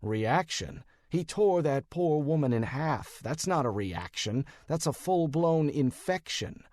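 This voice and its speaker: A wisecracking male detective from an urban fantasy audiobook, acted by a man. He is sarcastic and defensive, his pitch jumping about, in a dry, close recording. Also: lively intonation, slow, a little mellow, no room sound. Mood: incredulous.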